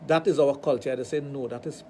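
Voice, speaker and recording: A man with a Trinidadian accent, hosting a radio talk show and telling animated stories with acted-out dialogue. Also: mid-range voice, brisk, slight background noise. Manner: animated storytelling, acts out dialogue. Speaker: radio show host, sexologist.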